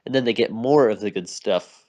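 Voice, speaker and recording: A man's voice: on the low side, normal speed, darkish tone. A young man co-hosting a casual podcast chat, insistent and argumentative, who keeps telling his friend to listen. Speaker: podcast co-host. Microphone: remote call mic.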